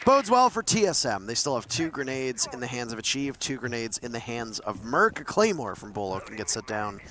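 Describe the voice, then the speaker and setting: A man casting live esports play-by-play, excitable, his voice jumping up at big plays. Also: mid-range voice, fairly quick. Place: broadcast desk at a live event.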